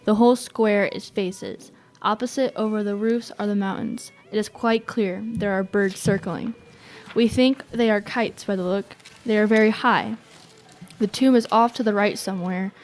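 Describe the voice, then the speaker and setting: A woman's voice: medium pitch, fairly even intonation, moderate pace, darkish tone, natural voice. Watchful young woman as radio reporter. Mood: tense, observant. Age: young adult. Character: watchful female radio reporter at a tense gathering. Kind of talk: live eyewitness commentary in a radio play.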